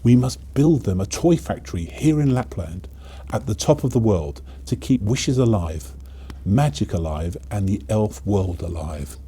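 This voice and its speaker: A man's voice: fairly low pitch, animated, measured pace, warm tone, breathy edge, heavily acted. A man voicing a confident young female elf in a storybook, giving an earnest, solemn speech. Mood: earnest hope.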